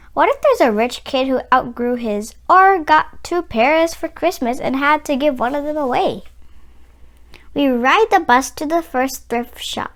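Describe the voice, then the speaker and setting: High, lively woman reading a children's story. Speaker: children's read-aloud channel host. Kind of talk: slow, expressive picture-book read-aloud for children.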